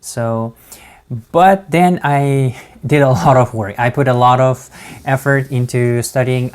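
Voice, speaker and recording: A man's voice: mid-range voice, smooth. A man speaking English with a non-native accent, recounting his studies in a casual vlog, hesitant with many fillers and restarts. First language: not English. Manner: halting, many fillers and restarts, laughs between words. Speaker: vlogger. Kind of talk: casual talk to camera.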